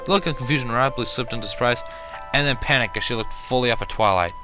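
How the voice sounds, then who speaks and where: A man's voice: medium pitch, fast talker, music in the background, rough recording. A man narrating a first-person fan fiction story, reading expressively as an amateur.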